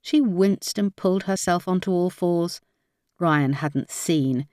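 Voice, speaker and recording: A woman's voice: fairly low pitch, slow pace, a little mellow, no room sound, clean studio sound. A woman reading a crime novel aloud as scripted audiobook narration.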